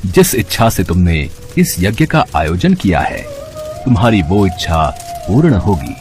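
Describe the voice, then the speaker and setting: Guy doing a fire god granting boons, deep n husky, commanding, religious cartoon, music under it. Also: very animated, a little slow, darkish tone. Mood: majestic. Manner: commanding, formal. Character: majestic male fire god granting a boon. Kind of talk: Hindi devotional cartoon dub.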